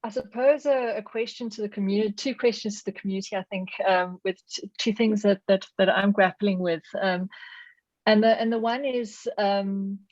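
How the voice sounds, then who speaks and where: A woman's voice: medium pitch, fairly even intonation, moderate pace, darkish tone, no room sound. A woman with a South African accent asking a long, thoughtful question in an online seminar. She searches for words and restarts her phrases often. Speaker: audience member asking a question. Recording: dull dry video call audio.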